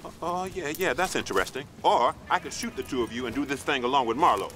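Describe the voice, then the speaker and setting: A man playing a gruff, weary army sergeant in a video game, commanding and sardonic. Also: mid-range voice, animated, fast, sharp tone, a little hiss or hum. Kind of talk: video game military acting.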